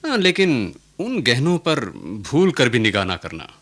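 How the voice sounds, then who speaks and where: Middle-aged merchant dad in a radio drama, fond but firm, really lively pitch swings. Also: male voice, measured pace, heavily acted. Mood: firm warning. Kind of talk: Hindi radio play acting.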